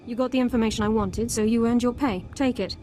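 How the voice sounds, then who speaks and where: An actress playing a tough, world-weary squad commander in a video game, blunt and matter-of-fact. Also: female voice, a little high, fairly even intonation, fast, mellow, slight background noise, natural voice. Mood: resigned. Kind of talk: video game drama acting.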